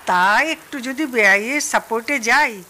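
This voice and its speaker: A woman's voice: fairly high pitch, measured pace, pushed and bright. An actress playing an exasperated, teasing older wife in an audio drama, chiding as she talks. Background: rain.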